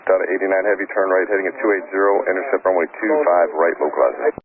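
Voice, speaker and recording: A man speaks as an air traffic controller over a narrow-band radio with faint static. His delivery is very quick and even, made up of clipped procedural instructions. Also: deep voice. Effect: aviation radio transmission. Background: faint radio noise.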